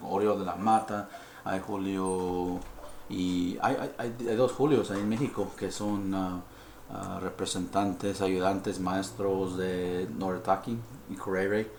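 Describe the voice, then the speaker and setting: A low, gravelly-voiced man from California speaks Spanish as a second tongue, halting and apologetic, dropping in English fillers. His tone stays flat and even. The sound carries the echo of a lab room with a faint hum behind it. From United States (California). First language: English (heritage Spanish speaker). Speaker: dental technician presenter. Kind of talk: live-stream dental lecture. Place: dental lab.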